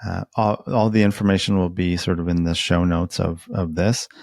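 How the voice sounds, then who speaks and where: A man's voice: sits low, fairly even intonation, moderate pace, creaky, darkish tone, no echo, clean studio sound. A man hosting a casual interview, with an informal, tentative way of phrasing his questions. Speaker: podcast host.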